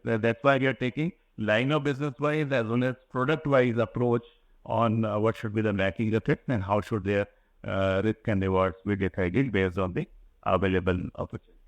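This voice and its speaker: An Indian-accented man, a company executive, giving formal, wordy answers on an earnings call with halting phrasing. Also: medium pitch, no room sound.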